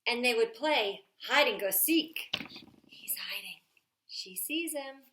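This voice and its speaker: A woman reads a children's picture book aloud, dropping in chatty asides. Her voice is fairly high and bright. The room has a noticeable echo. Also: animated, slow pace, a little hiss or hum. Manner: chatty asides to young listeners. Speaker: library story time reader. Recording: roomy, echoey home-style recording.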